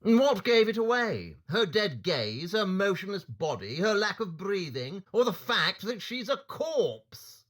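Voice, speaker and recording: Male actor as brilliant condescending detective, higher-pitched, very lively intonation, sarcastic, audio play. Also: a little slow, hardly any pauses, full character voice. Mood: scornful. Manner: clipped, sarcastic, lecturing. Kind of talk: audio drama acting.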